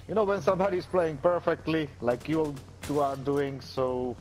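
Hesitant man from Slovakia. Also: a little high. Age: middle-aged. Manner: hesitant, halting non-native English. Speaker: game player. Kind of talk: casual voice chat.

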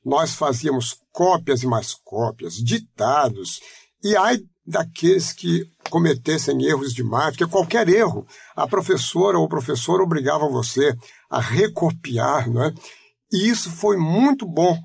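A man in his seventies from Brazil, speaking with a Rio de Janeiro accent and giving a measured, reflective oral history interview. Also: fairly high pitch, lively intonation, creaky, slightly rough, no echo, studio quality. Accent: Rio de Janeiro Portuguese. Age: 70s. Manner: measured, reflective, explanatory, frequent "né?" tags. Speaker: interviewee, retired teacher.

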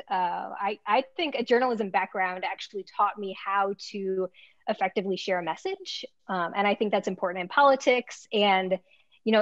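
A young woman speaking as a panelist over a video call from home, chatty and quick to laugh. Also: mid-range voice, dry recording. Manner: chatty, laughs easily, uses "like" and "right". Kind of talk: casual panel talk. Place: home room. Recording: dull, dry video call audio.

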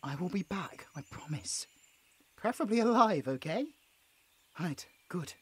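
Guy acting nervous british bloke in an audio roleplay, stammery and pleading, super animated pitch, dry close sound. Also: measured pace, breathy edge, no echo, exaggerated voice. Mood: weary, resigned. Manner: stammering, flustered, exasperated. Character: nervous, squeamish, pleading young man held by a werewolf.

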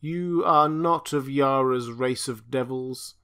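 A man's voice: mid-range voice, slow pace, bright, forceful tone, clear, resonant tone. A man voicing an ancient, blind, tortured alien being that speaks in a raised, mournful, chanting way. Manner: lamenting, chanting, raised and pleading.

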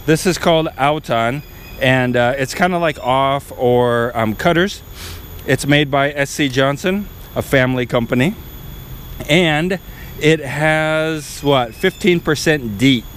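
A man's voice: mid-range voice, slow, fairly bright tone, slight background noise. A man in his forties hosting a casual vlog, chatting to viewers as he hikes through a tropical jungle with a handheld camera. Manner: chatty, narrating to viewers while hiking. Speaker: vlogger. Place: outdoors in a tropical jungle by a river. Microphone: handheld action camera.

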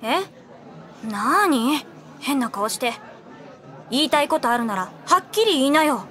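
A woman playing a gossipy, pushy high school girl in an anime audio drama, speaking casually. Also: very animated, unhurried, bright, forceful tone, a faint crowd, exaggerated voice. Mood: bossy.

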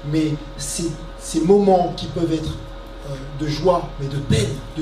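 A man in his forties answering a question at a conference stand on a handheld mic, halting with many fillers and repeats. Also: fairly high pitch, recorded from a distance. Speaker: guest speaker. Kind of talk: casual panel answer. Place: conference media stand, indoors. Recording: echoey hall, heard from afar.

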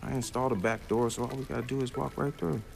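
A man's voice: medium pitch, steady tone, fast, creaky, darkish tone, faint music behind, lightly acted. A man playing a brash, fired-up young American hacker in a game, slangy, sweary and emphatic. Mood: confident. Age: young adult. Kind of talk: video game cutscene acting.

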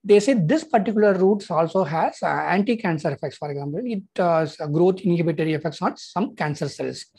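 A man in his thirties from Tamil Nadu, speaking English with a Tamil accent, talking casually and haltingly to camera as a health insurance consultant, with many "uh" and "you know" fillers. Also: a little high, vocal fry, a little mellow, breathy edge. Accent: Indian English, Tamil. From Tamil Nadu, India. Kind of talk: casual informational vlog.

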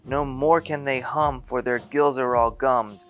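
A man's voice: medium pitch, steady tone, a little slow, fairly bright tone, pitched above the performer's own voice, close to an everyday voice. A man voicing a small grumpy male forest creature who scolds in fussy rhyming speech, as in a storybook reading. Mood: indignant.

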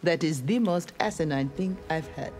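A lowish Nigerian-accented young warrior woman speaks with formal, firm defiance. She is a proud, righteous armored ninja in a fighting game, with faint music behind. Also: normal speed, a little mellow. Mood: defiant. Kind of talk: video game fight dialogue.

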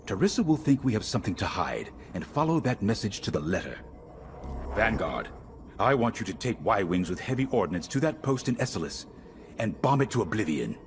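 An actor playing a middle-aged rebel commander in a video game, authoritative, measured and secretive. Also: male voice, lowish voice, sing-song, background noise. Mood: determined. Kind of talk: video game briefing dialogue.

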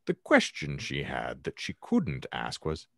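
Deep-voiced man narrating with theatrical swings. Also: huge pitch swings, gravelly, no echo, studio quality. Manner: theatrical, ornate delivery with dramatic pauses. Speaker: podcast host reading aloud his own fan fiction. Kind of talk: dramatic story narration. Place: indoor room at home, video call.

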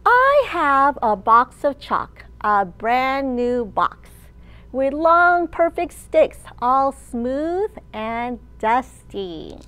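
A woman in her thirties, a children's librarian leading storytime with an animated, sing-song delivery for young children. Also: high voice, very slow. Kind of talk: children's storytime read-aloud and teaching. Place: large open library room, indoors.

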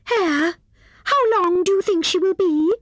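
Squeaky-high fussy squirrel. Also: female voice, unhurried, no room sound. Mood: fussy, impatient. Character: fussy, indignant female squirrel.

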